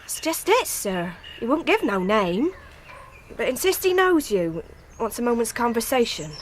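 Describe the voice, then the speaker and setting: An actress playing a flustered, deferential housemaid in a radio drama, with a simple servant's way of speaking. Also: female voice, on the high side, very animated, moderate pace, slight background noise. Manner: deferential, uneducated servant's speech.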